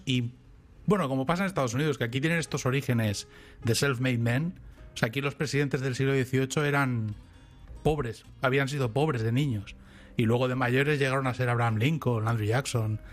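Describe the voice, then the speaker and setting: Chatty man, rambling storyteller. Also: mid-range voice. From Spain. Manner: rambling, animated storytelling with restarts. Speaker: podcast co-host. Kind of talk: casual chat.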